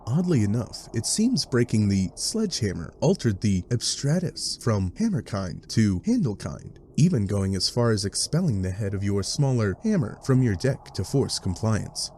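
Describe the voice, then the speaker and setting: A man's voice: fairly low pitch, a little mellow, a little hiss or hum. A man reading scripted second-person webcomic narration with wry, dry asides. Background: music.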